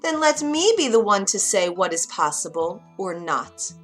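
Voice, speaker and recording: A woman voicing a brisk, bossy fairy godmother who gets huffy. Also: medium pitch, big pitch swings, soft background music, natural voice. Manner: huffy, matter-of-fact.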